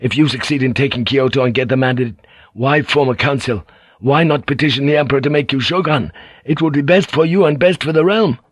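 A man voicing a young samurai son who is excitable and eager, words tumbling out in a rush. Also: on the high side, no room sound, voice pushed up, lightly acted. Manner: eager, bursting, rushing.

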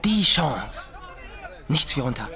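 An actor playing an excited, awestruck young boy in a German radio play. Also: medium pitch, takes it slowly, gravelly, a faint crowd, full character voice.

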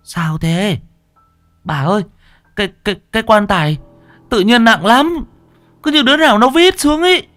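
A man's voice: on the high side, fairly even intonation, extremely slow, soft background music, voice pushed up. A man voicing panicked young village men who stammer, wail and complain under strain in a horror story. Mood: alarmed.

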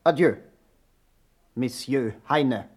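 An actor playing a stiff, offended gentleman challenging someone to a duel in a radio play, formal and curt. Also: medium pitch, extremely slow, breathy edge. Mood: offended, haughty. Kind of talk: German radio play acting.

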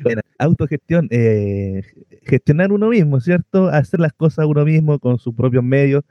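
A man's voice: on the high side, animated, moderate pace, lo-fi sound. A man talking casually and reflectively, thinking aloud with some hesitation. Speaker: radio show participant.

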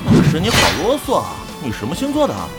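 A woman's voice: on the low side, huge pitch swings, quick pace. A woman playing an eager, naive and playful young online gamer in a Chinese audio drama. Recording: loud music bed under the voice.

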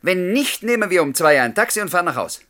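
A man's voice: sits high, sing-song, fast, pushed and bright, full character voice. A man playing a bold but polite young suitor who also narrates his story in a German radio play. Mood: daring, pointed. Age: young adult. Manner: courteous, provocative, crisp.

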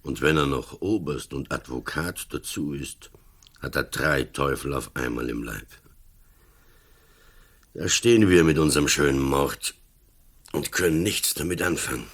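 An actor playing an old, composed police commissioner in a radio play, speaking calmly and drily. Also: male voice, very deep voice, slightly rough, heavily acted. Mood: dry, matter-of-fact. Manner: calm, deliberate, dry.

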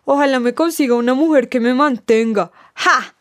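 A Colombian-accented woman with a high voice, recorded close and dry. She delivers scripted radio dialogue with sardonic, scolding indignation. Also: normal speed, no echo. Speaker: radio presenter.

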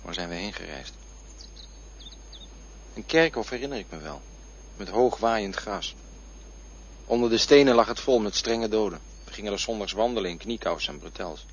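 A man's voice: lowish voice, expressive, normal speed, pauses often. An actor playing a desolate, wistful man in a poetic radio play monologue. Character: desolate man wistfully recalling a vanished past. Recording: muffled, faintly noisy radio recording.

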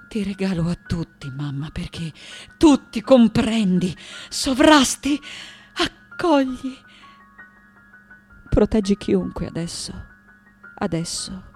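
A woman acting an intense, tormented elderly sculptor in a poetic radio monologue, with deliberate, dramatic phrasing and long pauses. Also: mid-range voice, expressive, darkish tone, husky, soft background music. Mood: intimate, hushed.

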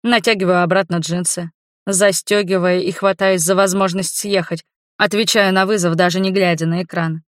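A woman's voice: lowish voice, fairly even intonation, moderate pace, no room sound, clean studio sound. A woman giving a dramatic first-person narration of a novel as an audiobook reader.

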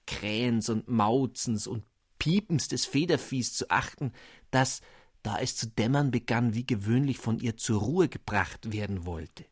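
A man narrating a fairy tale in a measured, expressive way. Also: medium pitch, no room sound, clean studio sound.

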